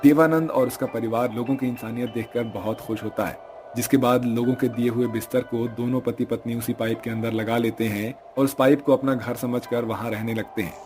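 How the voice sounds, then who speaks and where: A man's voice: medium pitch, steady tone, a little fast, a little mellow, a little hiss or hum. A man giving scripted narration for a cartoon story.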